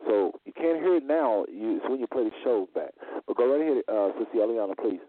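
Quick man hosting a call-in show, dry, phone-like sound. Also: medium pitch, expressive, no room sound. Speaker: host. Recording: dry, tinny phone line.

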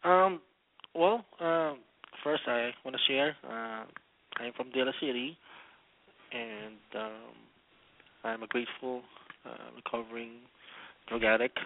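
Guy from the philippines calling in, gravelly, lots of ums, phone-line sound. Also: mid-range voice, a little hiss or hum. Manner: halting, many fillers. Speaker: caller.

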